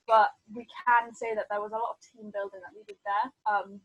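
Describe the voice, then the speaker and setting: A woman's voice: medium pitch, fairly bright tone, slight background noise, poor audio. A nineteen-year-old woman from Wales, giving an upbeat, casual group presentation with lots of ums. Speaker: gap-year team member.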